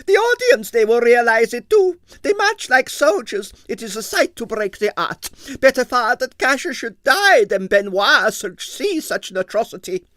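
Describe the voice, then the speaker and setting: A man voicing a shrill, melodramatic prima ballerina in a storybook, speaking broken English with French exclamations. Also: big pitch swings, moderate pace, hardly any pauses, sharp tone, dry recording, full character voice. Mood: shrill outrage. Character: temperamental older Russian ballerina, shrill and imperious.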